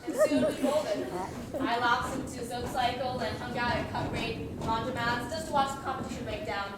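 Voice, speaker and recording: A lovestruck, guilt-ridden husband acted by a woman tells his story with theatrical flourish in a student stage comedy. The voice is high and comes from well away from the mic, in a very echoey, noisy hall. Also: moderate pace, distant mic, a loud crowd, lightly acted. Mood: wry, mournful narration. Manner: theatrical, melodramatic, stammering under accusation. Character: lovestruck, guilt-ridden narrator named Manny, melodramatic husband. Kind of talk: student stage comedy, confessional narration and dialogue. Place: school theater stage, recorded from audience.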